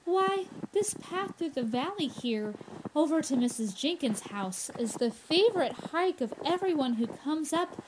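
A woman's voice: high voice, lively intonation, unhurried, background noise. A woman playing a sensible, well-informed young woman in an old-time radio drama, reasoning and explaining with theatrical clarity. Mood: cheerful, explanatory.

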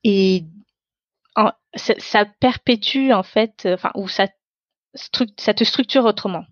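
A woman's voice: medium pitch, a little slow, dry recording, lo-fi sound. A woman whose first language is Tamil, reflecting on her own life in an unscripted interview, searching for her words as she goes. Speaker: interview guest.

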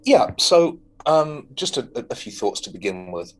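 A man co-hosting a casual podcast, pausing often with ums as he gathers his thoughts. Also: mid-range voice, sing-song. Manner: hesitant, lots of ums. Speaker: podcast co-host. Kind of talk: casual chat.

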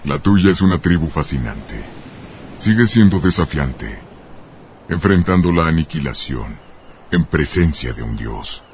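An actor playing an imperious, menacing god-king in a film dub, with a pompous, deliberate, declamatory delivery. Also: a bass voice, lively intonation, a little mellow. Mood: persuasive. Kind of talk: dubbed epic film acting. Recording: noisy film soundtrack clip.

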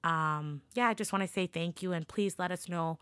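A woman hosting a casual podcast, slightly hoarse from a cold, chatty and upbeat with plenty of "like" and "um". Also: fairly low pitch, moderate pace, a bit bright, no room sound. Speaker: podcast host.

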